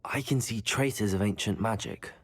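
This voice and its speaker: An actor playing a calm new student wizard in a game, speaking in a measured, polite, reserved manner. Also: male voice, fairly low pitch.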